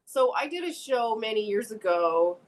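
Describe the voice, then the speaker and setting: A middle-aged woman from Canada, an actress chatting casually as a podcast guest, frank and quick to laugh. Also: fairly high pitch, expressive. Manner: easygoing, laughs often, frank. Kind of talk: casual interview chat.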